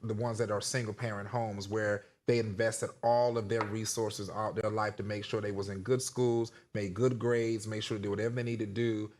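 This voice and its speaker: A man speaking as a talk show panelist in unscripted discussion, earnest and halting, often repeating his words. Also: fairly low pitch, flat delivery, a little mellow, a little hiss or hum.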